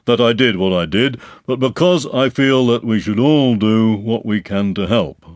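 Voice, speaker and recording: A man voicing a gloomy, self-important donkey in a storybook reading, speaking in a ponderous, drawn-out way. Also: on the low side, no room sound, clean studio sound, voice pushed up, natural voice. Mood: pompous, solemn. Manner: ponderous, pompous, drawn-out delivery. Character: gloomy, self-important, long-suffering old grey donkey.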